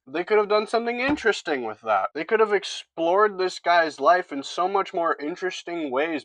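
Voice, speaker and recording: A man's voice: fairly high pitch, a bit bright, no room sound, low-quality recording. A man reviewing a film in a casual, scripted monologue with sarcastic, jokey asides.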